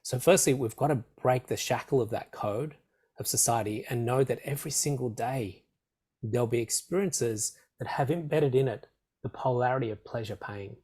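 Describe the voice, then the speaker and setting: A man with a croaky, tickly throat explaining ideas as a meditation teacher, building emphatic, repetitive lists. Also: medium pitch, moderate pace, a little mellow. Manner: emphatic, repetitive, list-like phrasing. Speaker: meditation teacher, podcast guest. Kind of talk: explanatory interview talk.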